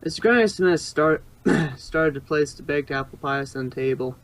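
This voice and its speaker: A man's voice: fairly high pitch, normal speed, no echo. A man reading fan fiction aloud as an amateur narrator, sometimes stumbling and rereading phrases.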